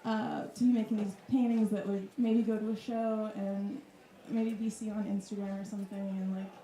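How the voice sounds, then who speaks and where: Woman from wisconsin, thirties, warm dark voice, reflective, roomy club sound. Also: mid-range voice, little pitch movement, normal speed. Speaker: artist guest. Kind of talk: casual reflective talk. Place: club room with audience. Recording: echoey room.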